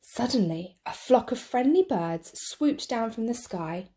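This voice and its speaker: A woman reading a picture book to children in an expressive, measured storytelling style. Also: a little high. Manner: measured, deliberate phrasing.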